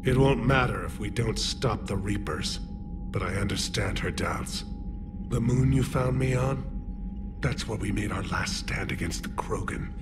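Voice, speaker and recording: Man as a formal, guarded alien commander, lowish voice, music behind. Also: lightly acted. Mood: measured. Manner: formal, measured and reserved. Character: dignified male alien military leader, measured and guarded. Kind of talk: video game voice acting.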